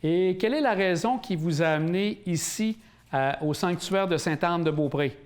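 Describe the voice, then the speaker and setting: High-pitched guy interviewing someone, lots of euh and restarts. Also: moderate pace. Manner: hesitant questions full of fillers. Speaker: interviewer and host. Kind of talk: community TV interview.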